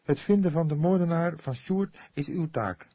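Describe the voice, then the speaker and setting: Audiobook role: protective young woman, firm and earnest, raised pitch, husky edge played by a man. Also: normal speed, mellow, dry recording. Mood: calm, earnest. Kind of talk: audiobook character dialogue.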